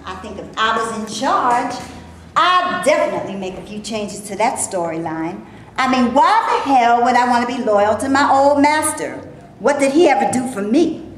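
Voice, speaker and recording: Echoey live stage reading, faint noise, higher-pitched female voice, actress as wry outspoken maid, indignant would-be film actress. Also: very animated, moderate pace.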